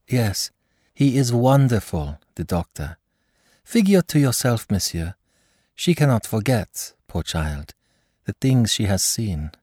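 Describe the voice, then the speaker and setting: A man's voice: fairly low pitch, big pitch swings, takes it slowly, a little mellow, no echo, studio quality, voice pushed up, heavily acted. A man reading an audiobook, voicing a young émigré mother who gushes and slips French phrases into her English. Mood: anxious. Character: anxious, effusive young émigré mother, woman.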